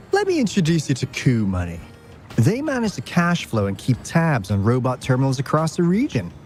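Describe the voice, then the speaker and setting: An actor playing a suave guide from a secretive sci-fi organization in a video game, with measured, smooth explanations. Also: male voice, mid-range voice, a little mellow. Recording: game music faintly behind.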